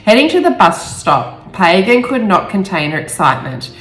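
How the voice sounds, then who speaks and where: A woman author speaking in a halting, emotional way, stumbling over words now and then. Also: mid-range voice, sing-song, fairly bright tone. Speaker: author reading own novel aloud. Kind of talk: amateur storybook reading with personal asides. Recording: roomy echo with faint music.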